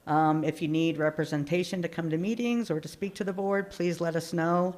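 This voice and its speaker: A woman with a naturally loud voice, a shelter program manager, speaking earnestly at a community town hall with many ums. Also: on the low side, fairly even intonation, brisk. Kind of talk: public comment at a town hall. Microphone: handheld microphone.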